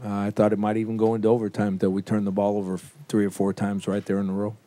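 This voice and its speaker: A man fielding reporters after a loss, speaking low and mellow. he talks plainly and bluntly, with a few uh's as he works out each answer. it sounds like a head coach at a postgame podium. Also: monotone. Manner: measured, plain-spoken, blunt with fillers. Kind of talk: postgame press conference answers.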